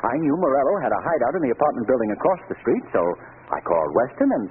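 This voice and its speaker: An actor playing a confident, amused amateur crime-solver in a casual, self-assured manner, in 1940s radio drama style. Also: male voice, medium pitch, very animated, very fast, natural voice. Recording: tinny, hissy 1940s radio recording.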